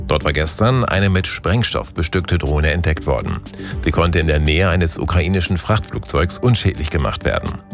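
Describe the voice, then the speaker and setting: A man reading the morning radio news in a calm, even delivery. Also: deep voice. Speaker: newsreader.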